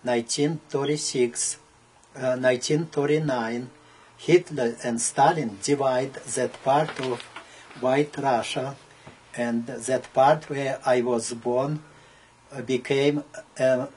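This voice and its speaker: A man in his seventies from Poland with an Eastern European accent, giving personal testimony in halting, broken English phrases with frequent pauses. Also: medium pitch, steady tone, darkish tone, breathy edge, rough recording. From Poland (now Belarus). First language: not English. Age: 70s. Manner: halting, pausing often, in short broken phrases. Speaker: Holocaust survivor giving testimony. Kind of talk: personal oral history testimony.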